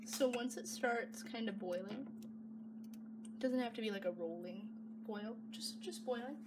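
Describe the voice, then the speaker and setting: A woman's voice: a little high, unhurried. A young adult woman chatting casually and playfully, with self-mocking asides, as she hosts a home cooking vlog. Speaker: vlog host. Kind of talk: casual rambling vlog chat while cooking. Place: home kitchen by the stove. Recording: distant mic, loud kitchen noise.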